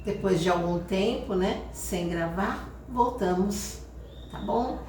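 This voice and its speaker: A woman's voice: mid-range voice, takes it slowly, darkish tone, a little hiss or hum. A Brazilian woman hosting a home cooking lesson, explaining each step in a chatty way and often checking in with viewers. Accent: Brazilian Portuguese. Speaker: cooking channel host. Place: home kitchen. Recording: echoey kitchen audio from far off.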